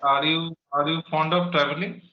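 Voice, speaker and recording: A man's voice: on the high side, fairly even intonation, normal speed, slight background noise. A man, a tutor politely asking interview questions over an online call. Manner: polite, formal address. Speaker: host, English tutor. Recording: bright echoey lo-fi call.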